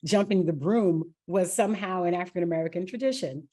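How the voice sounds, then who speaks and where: A woman hosting a podcast interview in a conversational, emphatic and expressive way. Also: lowish voice, fairly quick. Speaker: podcast host.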